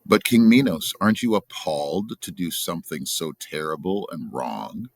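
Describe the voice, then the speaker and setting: A man's voice: sits low, lively intonation, normal speed, gravelly, dry recording, heavily acted. A man voicing a brave, noble young prince, earnest and defiant, in a storybook reading. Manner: earnest, resolute.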